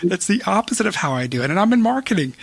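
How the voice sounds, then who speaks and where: Male podcast host, fast and husky, conversational, affirming, slightly halting delivery. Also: sits high, sing-song, no room sound. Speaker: interview host.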